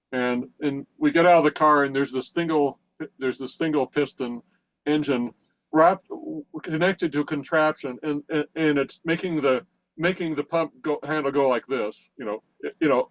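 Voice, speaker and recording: A man's voice: fairly high pitch, no room sound. An older man from central Pennsylvania telling a long story in casual chat, rambling with many restarts and chuckles, on a video call. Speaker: participant in a technical session.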